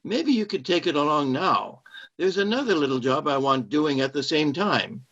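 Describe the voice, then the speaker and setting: An actor in a radio play playing a controlling, secretive saboteur who poses as a kindly cinema owner and gives insistent orders. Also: male voice, fairly high pitch, animated, normal speed, a bit bright. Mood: insistent, urging. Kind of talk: British-set radio play acting. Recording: dull lo-fi streamed audio.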